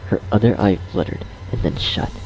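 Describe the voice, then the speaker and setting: A man's voice: sits low, moderate pace, a little mellow, rough recording. A man reading scripted horror story narration as the narrator. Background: music.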